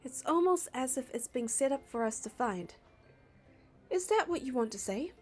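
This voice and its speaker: Female narrator, high voice, scripted game story reading with music underneath. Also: animated, normal speed, a little mellow. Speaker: narrator and reader of a game playthrough. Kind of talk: scripted story narration with dialogue read aloud. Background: background music.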